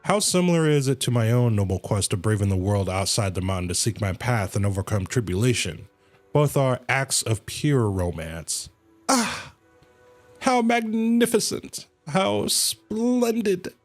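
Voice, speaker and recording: A man's voice: medium pitch, big pitch swings, measured pace, faint music behind. A man voicing a pompous, bickering temple guardian from a fantasy game in a theatrical, grandiloquent way. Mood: grandiose. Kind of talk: reading game dialogue aloud.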